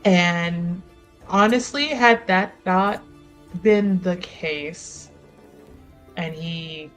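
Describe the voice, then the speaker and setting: A woman roleplaying in a tabletop game, speaking haltingly with casual asides. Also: lowish voice.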